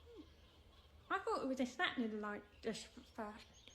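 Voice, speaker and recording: A woman's voice: on the high side, big pitch swings, unhurried, pushed and bright, slight background noise, exaggerated voice. A woman playing a curious, blunt and persistent deaf schoolgirl in a naturalistic radio drama.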